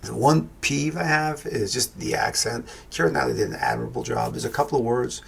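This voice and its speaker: Gravelly New York man reviewing a film. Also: medium pitch, big pitch swings, a little fast, fairly bright tone, slight background noise. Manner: rambling, thoughtful, many restarts and fillers. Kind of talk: casual spoken film review.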